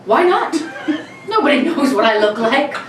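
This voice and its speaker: A woman's voice: sits high, sing-song, a little slow, a bit bright, exaggerated voice. Woman acting cocky male robber, roomy distant recording. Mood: boastful, gleeful. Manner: mock-courteous, theatrical. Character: cocky, politely menacing male armed robber. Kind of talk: old-time radio play reading. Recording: roomy, distant mics with faint noise.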